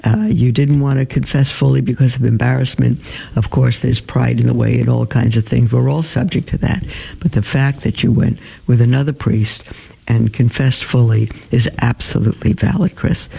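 Gravelly, dark-voiced man reading letters. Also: mid-range voice, fairly even intonation. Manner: halting, many fillers and restarts while reading. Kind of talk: reading and answering listener emails.

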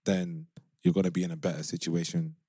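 A man's voice: deep voice, little pitch movement, fairly quick, dark tone, slightly rough, no room sound, clean studio sound. A young man, co-hosting a casual podcast chat in a laid-back, teasing way. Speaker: podcast co-host. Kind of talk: casual chat among friends.

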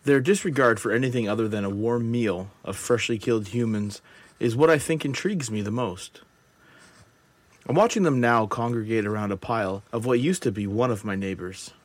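A man playing a wary, detached survivor of an apocalypse, narrating a journal in a deliberate, reflective audio drama style. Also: lowish voice, close to an everyday voice. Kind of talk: scripted first-person dramatic narration.

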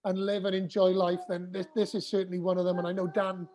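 A woman talking casually in an interview, rambling warmly about what gives life meaning. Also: fairly low pitch, little pitch movement, fast. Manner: warm, rambling, talks to her baby between thoughts.

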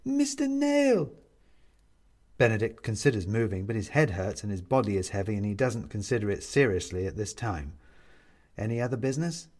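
A man narrating a scripted story as an audiobook reader, in a wry, measured manner. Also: on the low side, a little mellow.

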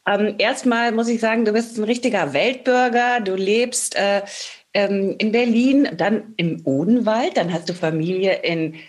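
A woman's voice: mid-range voice, unhurried. German woman hosting a podcast. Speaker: podcast host. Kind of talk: interview questions and intro.

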